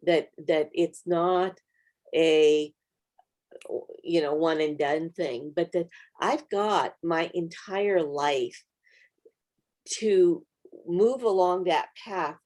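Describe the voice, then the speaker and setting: Woman, slightly low voice, conversational small-group teaching, reflective, encouraging, study host. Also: slow pace, darkish tone. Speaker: Bible study video host.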